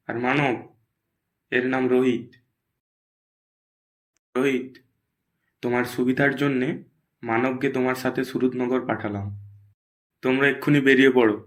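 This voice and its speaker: A man playing a stern, businesslike boss in a cartoon, giving orders in a measured, authoritative way. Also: mid-range voice, natural voice. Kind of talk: Bengali horror cartoon voice acting.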